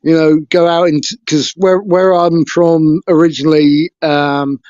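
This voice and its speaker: A man with a somewhat high voice tells his story in a frank, hesitant way. The sound is dry and dull, like lo-fi call audio. He speaks as an interview guest on a video call. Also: flat delivery, measured pace, darkish tone, clear, resonant tone, no echo. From England. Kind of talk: casual interview talk.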